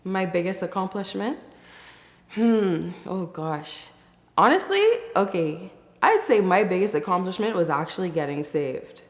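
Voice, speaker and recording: A woman from the Bahamas giving casual, reflective interview answers in a thoughtful, candid way, with pauses as she thinks. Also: fairly low pitch, lively intonation. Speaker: interview guest, musician. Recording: echoey, reverberant room.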